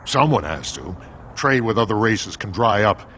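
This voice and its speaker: A man's voice: fairly low pitch, expressive, normal speed, a bit bright, slight background noise. An actor playing a proud, bitter male dwarf merchant in a fantasy game, speaking formally. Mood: bitter pride. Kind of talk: scripted fantasy game dialogue.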